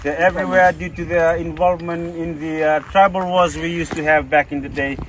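A man working as a tour guide, calmly explaining village customs outdoors by a river. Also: a little high, fairly quick, a little hiss or hum. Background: loud music.

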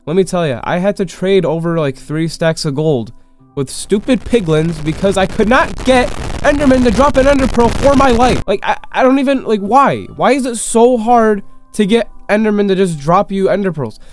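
A sick, slightly congested man giving excitable, rambling gameplay commentary with quick, frustrated outbursts. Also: high voice, rough recording. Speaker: gaming YouTuber. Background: faint game music.

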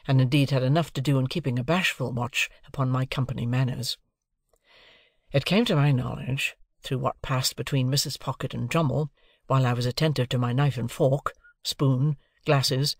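A man narrates literary fiction for an audiobook. His mid-range voice has a noticeable gravelly creak. The recording is close and dry. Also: medium pitch, no echo.

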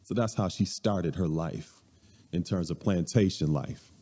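A man with a low voice and a very dark, mellow tone gives a conversational, measured church talk with plenty of ums. He speaks into a stand mic a few steps away. The large hall lays a long reverb over every word. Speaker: guest speaker. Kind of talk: lecture at a church service. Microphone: stand mic at a few steps, far camera.

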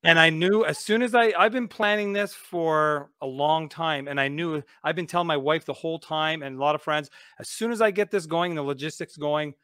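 A man's voice: fairly high pitch, fairly quick, few pauses, clean tone, no echo. Chatty man hosting a podcast. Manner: relaxed, chatty, many fillers. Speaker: podcast host. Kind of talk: casual video podcast interview. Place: home studio, indoors. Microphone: studio mic on a video call.

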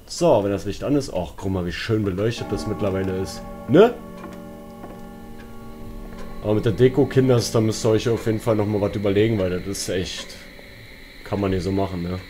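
A man's voice: lowish voice, fast talker, warm tone, faint music behind. A man giving casual, colloquial, joking commentary as he plays a horror game, talking to himself and his viewers. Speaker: let's player.

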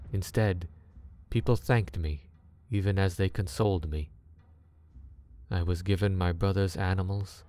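Deep, slow male storyteller. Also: mellow. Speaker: narrator. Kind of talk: scripted dark story narration. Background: background music.

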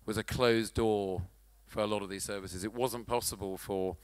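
A man in his forties moderating a conference panel on a handheld mic, brisk and steering the talk. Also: on the low side, fairly even intonation, no echo. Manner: brisk, laughs easily, steering the chat. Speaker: panel moderator and company chairman. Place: large conference hall stage.

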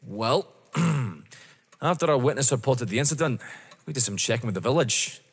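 An actor playing a polite, persistent police detective in an audio drama, deferential yet probing. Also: male voice, mid-range voice, expressive, moderate pace, husky. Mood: insistent, polite. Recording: reverberant hall sound.

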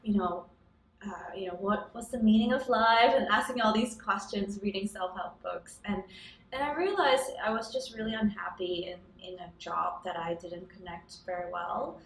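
A woman's voice: medium pitch, normal speed, gravelly. A young woman from Hong Kong, a startup founder, answering interview questions in a casual, thoughtful way with frequent ums. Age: young adult. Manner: thoughtful, many ums and restarts, occasional laughs. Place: office room by a large window with city towers outside. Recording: roomy echo, somewhat distant mic.

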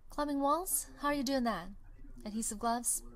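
A woman's voice: high-pitched, moderate pace, close to an everyday voice. A woman voicing a cocky, sardonic middle-aged billionaire inventor in an amateur film dub, glib and teasing. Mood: probing, brisk.

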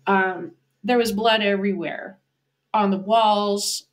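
A woman's voice: mid-range voice, fairly even intonation, slow pace. A woman in her fifties from the United States, an animal communicator telling a vivid story as a podcast guest over a video call from a home office. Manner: vivid storytelling, acting out quoted voices. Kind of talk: storytelling in an interview. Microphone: video call, webcam.

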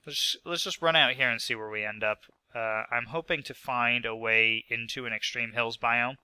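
A man's voice: mid-range voice, steady tone, moderate pace, bright, forceful tone, clean tone, no echo. A young man with a stuffy nose, sick, talking through his gameplay casually with rambling asides and fillers. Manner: rambling, self-mocking, lots of fillers. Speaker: gaming video host.

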